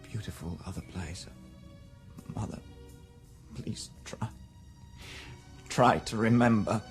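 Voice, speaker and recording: Raw grieving son. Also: male voice, fairly low pitch. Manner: pleading, emotional outbursts. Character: grieving young man, raw and intense. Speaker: the Savage. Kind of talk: 1990s radio drama acting. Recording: radio drama with music underneath.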